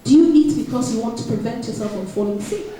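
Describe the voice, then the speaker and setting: A woman's voice: on the high side, expressive, fast talker, mellow. A woman with a Nigerian accent giving an explanatory public speech as an author at an event. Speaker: author speaking at book launch. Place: event hall. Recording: very echoey hall, distant mic.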